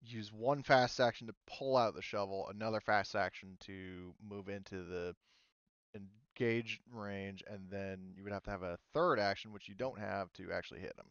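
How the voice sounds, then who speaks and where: A man's voice: fairly low pitch, moderate pace, a bit bright, smooth, dry recording, lo-fi sound. A man acting as game master, casually refereeing a tabletop game and explaining rules step by step.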